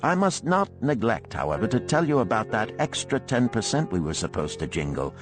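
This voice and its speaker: A man narrating an audiobook of parables and letters in a measured, expressive storytelling manner. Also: on the low side, music in the background.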